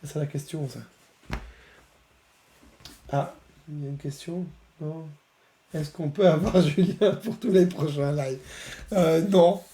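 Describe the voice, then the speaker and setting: Man with a cold, nasal and a bit hoarse, chatty live demo, slightly high voice. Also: takes it slowly, long pauses, warm tone, a little hiss or hum. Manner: chatty, many fillers, laughs often. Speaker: software presenter. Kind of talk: casual live-stream demo.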